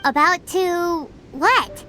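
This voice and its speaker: A woman voicing a tiny, eager childlike fairy companion in a video game, with a squeaky voice. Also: very slow, bright, forceful tone, heavily acted. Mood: curious. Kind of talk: video game character acting. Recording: music behind the voice.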